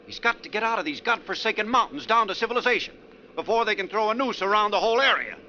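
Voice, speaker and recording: An actor playing a sarcastic, edgy, talkative bus passenger in a needling, theatrical 1940s radio style. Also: male voice, high-pitched, expressive, quick pace, pushed and bright, full character voice. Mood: speculative, intense. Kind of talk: 1940s radio drama acting. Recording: dull, noisy 1940s radio recording.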